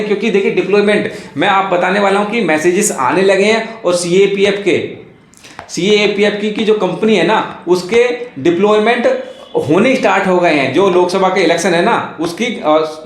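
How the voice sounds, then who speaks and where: A man explaining things informally like a coaching teacher, advising and repeating his key phrases. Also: high-pitched. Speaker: exam coaching teacher and YouTuber. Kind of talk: informal explainer on police recruitment. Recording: echoey room.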